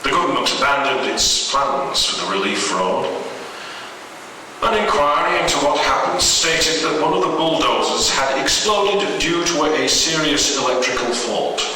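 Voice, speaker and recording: A man's voice: on the high side, lively intonation, normal speed, sharp tone. A man reading a horror story aloud at a live event with a dramatic delivery. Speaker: story reader. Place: live event in a reverberant room, distant mic. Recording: echoey, noisy live hall recording from far off.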